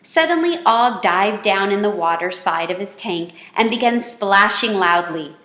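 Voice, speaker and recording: A woman's voice: medium pitch, lively intonation, measured pace, bright, forceful tone. A woman reading a children's storybook aloud as the storyteller. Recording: echoey, roomy sound.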